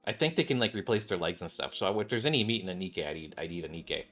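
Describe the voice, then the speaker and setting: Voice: sits low, expressive, fast talker, a bit bright, faint music behind. A streamer giving casual, joking, rambling commentary while playing a video game. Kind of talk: casual live commentary and story reading.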